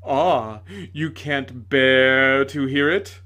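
A man reading aloud voices a bitter, theatrical male ghost who declaims slowly and loudly with self-pity. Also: high-pitched, pitched above the performer's own voice, exaggerated voice. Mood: bitter triumph. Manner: loud, slow, melodramatic declaiming.

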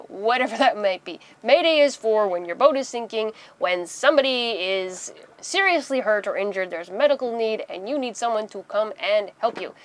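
Female instructor, thirties, medium pitch. Casual explainer delivery, chatty and conversational, small-cabin lapel-mic sound. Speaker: sailing vlogger and instructor. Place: small wood-panelled boat cabin, indoors.

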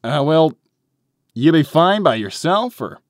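A man's voice: fairly high pitch, sing-song, slow pace, no echo, studio quality. A man voicing an easygoing, sheepish adult echidna with a hesitant, placating manner in a comic dub. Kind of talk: animated comic dub acting. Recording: music bed behind voice.

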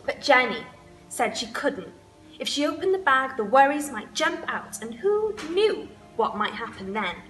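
A woman's voice: high voice, sing-song, measured pace, a bit bright, faint music behind, poor audio. A young woman storyteller reading a children's picture book expressively. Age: young adult. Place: indoors, room with wood-panelled wall and sofa.

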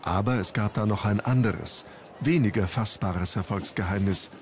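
A man's voice: fairly low pitch, normal speed. A man reading scripted narration for a documentary. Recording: music under the narration. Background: background music.

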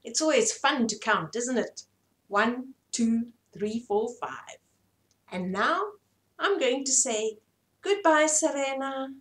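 A woman's voice: high-pitched, animated, takes it slowly, a bit bright. A middle-aged woman hosting storytime, reading and explaining a children's story expressively to small children. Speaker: storytime host. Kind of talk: read-aloud children's bedtime story. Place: small furnished room indoors.

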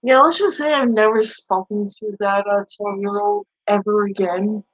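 A woman's voice: mid-range voice, steady tone, moderate pace, dry recording. Brash, foul-mouthed Boston woman streamer. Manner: brash, profane trash talk. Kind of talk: live stream chat reply. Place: small bedroom. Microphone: headset mic. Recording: dull lo-fi stream audio.

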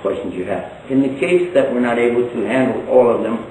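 A man's voice: mid-range voice, brisk, mellow, slight background noise. An older man from Texas with a Texan accent, giving a folksy religious lecture with joking asides. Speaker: Islamic preacher. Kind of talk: public lecture. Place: large hall, indoors. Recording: echoey hall from a distance.

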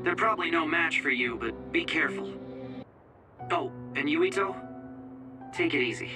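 A friendly young support operator with a lively, bouncing pitch. He sounds reassuring. Music plays behind him. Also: normal speed. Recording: game cutscene with music behind.

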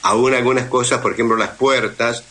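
A man's voice: mid-range voice, steady tone, normal speed, sharp tone. A middle-aged man with an Argentine accent, talking conversationally as a guest in a radio interview. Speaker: guest voice actor and dubbing teacher.

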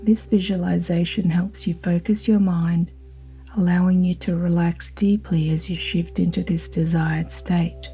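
A woman guiding a sleep hypnosis in a slow, soothing, soft-spoken manner with breathy phrase endings. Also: lowish voice, level tone. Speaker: hypnosis guide. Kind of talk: guided sleep hypnosis narration. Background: ambient music with singing bowl tones.

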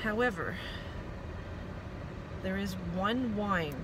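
A woman talks to her phone while sitting in her car, chatty, self-mocking and full of restarts. Her voice sits at an ordinary pitch for a woman. The phone is clipped to the dashboard vent, and loud car and road noise runs underneath everything she says. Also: lively intonation, takes it slowly. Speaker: vlogger. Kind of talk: casual car vlog monologue. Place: inside a parked and driving car at night.

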